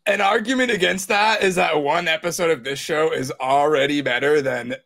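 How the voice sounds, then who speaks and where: A man's voice: a little high, moderate pace, creaky, fairly bright tone. A man talking casually as a panelist on a podcast. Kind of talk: casual chat.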